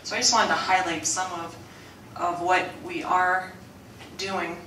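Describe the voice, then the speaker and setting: A woman with a bright, forceful, slightly low voice gives a formal school presentation. She has a loud coach's voice that she holds back for the microphone, and she restarts her phrases often. The recording is roomy and picked up from some distance, with faint background noise. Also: steady tone, unhurried. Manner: loud coach's voice, softened for the mic; earnest, with frequent restarts and fillers. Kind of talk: formal presentation at a board meeting. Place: school board meeting room. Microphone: room microphone. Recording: echoey room, mic at a distance.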